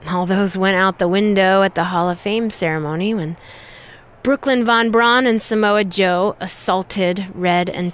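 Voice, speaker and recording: A woman's voice: medium pitch, smooth, close to an everyday voice. A woman acting as a serious, indignant host of a wrestling preview show in a video game cutscene, giving broadcast commentary. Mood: grave.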